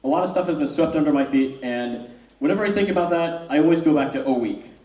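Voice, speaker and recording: A man's voice: fairly high pitch, quick pace, a little mellow. A young man, giving a wry, self-deprecating spoken-word talk on stage to a live audience. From born in South Korea, raised in the US. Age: young adult. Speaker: student speaker. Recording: roomy hall, mic from far off.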